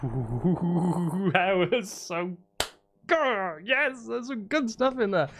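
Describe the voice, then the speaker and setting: Excitable man streaming, pitch swinging widely. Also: extremely slow. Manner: excitable, exclaiming, apologetic; scats riffs in nonsense syllables. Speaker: streamer making music. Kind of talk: casual livestream chat while composing music. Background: bass guitar and music playing.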